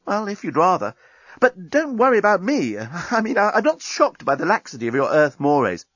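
An excitable, cheerfully shameless male alien reporter from a comic space audiobook, acted out by a male narrator. His voice sits high and swoops about eagerly as he blurts and exclaims. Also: moderate pace, husky, no echo, highs cut off, heavily acted. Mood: bemused.

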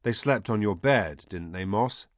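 A man voicing a kindly, grave old wizard in an audiobook, speaking gently and with wry understatement. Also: lowish voice, lively intonation, unhurried, no echo, clean studio sound. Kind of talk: audiobook character voice.

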